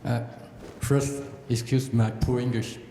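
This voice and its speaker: Halting man. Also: level tone. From Taiwan. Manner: halting, hesitant, many "uh" pauses. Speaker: audience member asking a question. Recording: echoey hall, long reverb, faint rumble.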